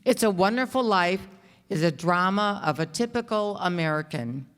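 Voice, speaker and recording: A woman's voice: on the low side, expressive, moderate pace, fairly bright tone, clear, resonant tone. A woman announcing and narrating a scripted radio show in an old-time style. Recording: echoey hall, music behind. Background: music.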